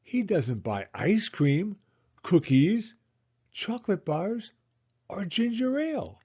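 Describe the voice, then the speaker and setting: A man's voice: high voice, sing-song, slow, dark tone, husky, lo-fi sound, pitched above the performer's own voice, exaggerated voice. A man voicing an insistent, cheeky little girl in a children's storybook, with pleading, emphatic delivery. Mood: complaining.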